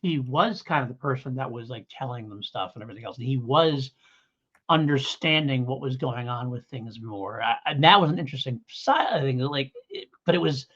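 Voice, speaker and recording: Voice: medium pitch, animated, moderate pace, a bit bright. A podcast co-host in a casual review discussion, talking in a hedging, rambling way and thinking aloud. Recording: dull lo-fi audio.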